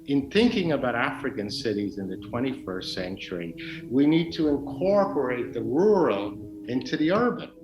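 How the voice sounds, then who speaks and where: A man's voice: medium pitch, sing-song, over music. Man explaining in a roomy, echoing space. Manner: measured, explanatory. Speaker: expert interviewee. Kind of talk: documentary interview. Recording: roomy echo.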